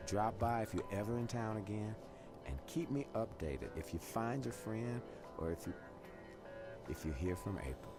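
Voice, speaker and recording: Low-voiced, supportive friend. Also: male voice, animated, normal speed, over music. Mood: reassuring. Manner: reassuring, informal. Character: supportive, easygoing male friend. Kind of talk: game voice acting.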